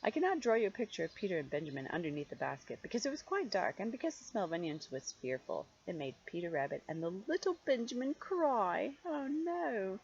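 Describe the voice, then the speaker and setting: A woman's voice: medium pitch, very animated, a little fast, hardly any pauses, darkish tone, highs cut off. An older woman reads a storybook aloud like a grandmother, expressively and playfully, drawing out key words and adding chatty asides. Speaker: grandmother reading stories to children.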